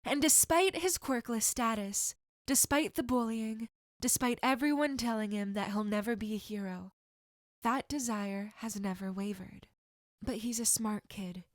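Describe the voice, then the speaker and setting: A woman's voice: mid-range voice, no echo, clean studio sound. A woman reading fan fiction aloud as a scripted story narration.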